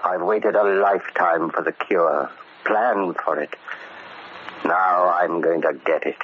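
An actor playing a bitter, stubborn middle-aged convict with an American accent and a grim, deliberate delivery, in old-time radio drama style. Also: male voice, deep voice, steady tone. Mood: grimly resolved. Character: bitter, resolute 44-year-old convict bent on settling a debt. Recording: dull, noisy old radio recording.

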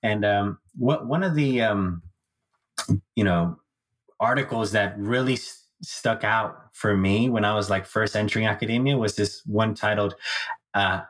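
A man's voice: fairly low pitch, unhurried, fairly bright tone. A man hosting a casual conversation, chatty, asking follow-up questions. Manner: friendly, conversational, asks follow-ups. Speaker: host.

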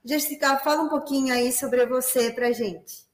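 A woman from Peru hosts a corporate webinar in a higher voice and a Peruvian Spanish accent. She talks in a friendly way and fills her speech with small tags. The sound is roomy and echoey, as on a video call. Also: fairly even intonation, moderate pace. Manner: friendly, many fillers like "né" and "tá". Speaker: webinar host, business development manager.

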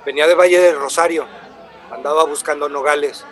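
An actor playing an earnest, polite traveling wood buyer who explains himself eagerly in a radio drama. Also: male voice, fairly high pitch, steady tone, fairly quick, fairly bright tone, lightly acted. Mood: patient explaining. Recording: crowd murmur of a café behind.

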